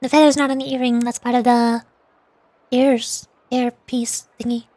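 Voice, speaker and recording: A woman's voice: on the high side, level tone, a little slow, darkish tone, dry recording. A woman livestreamer chatting casually about a game in a bubbly, girlish manner. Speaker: streamer playing and voicing game lines. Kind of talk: casual livestream chat and commentary.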